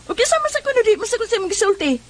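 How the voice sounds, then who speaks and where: A woman's voice: very high voice, sing-song, racing, a little hiss or hum. An actress playing an exasperated village woman who nags and lectures in a Cebuano comedy radio drama. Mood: reproving. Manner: nagging, lecturing. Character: scolding, exasperated village woman, morally reproving.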